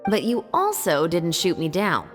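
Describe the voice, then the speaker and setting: An actress playing a cool, guarded adult patrol captain who speaks tersely. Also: female voice, fairly low pitch, huge pitch swings, measured pace. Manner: terse, understated. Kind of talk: video game voice acting. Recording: game audio, reverb and music.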